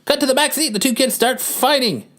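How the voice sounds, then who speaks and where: A man's voice: very high voice, a little fast, fairly bright tone. A man narrating a comic book as a podcast host, theatrical and amused, reading panel descriptions for laughs.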